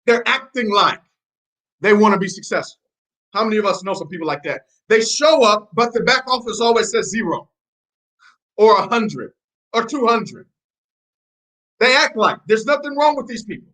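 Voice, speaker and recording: A man's voice: high-pitched, lively intonation, normal speed, long pauses, bright, forceful tone, poor audio. Emphatic man with American-Nigerian accent. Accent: mix of American and Nigerian. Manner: emphatic, repetitive, preachy, quizzing listeners. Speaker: network marketing trainer. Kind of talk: motivational training talk.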